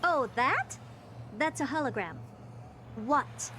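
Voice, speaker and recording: A high-pitched, haughty young female genius from a video game. She is blunt, dry and sure of herself, with clear noise behind the voice. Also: lively intonation. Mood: smug, exasperated. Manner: dry, self-assured, brisk sarcasm.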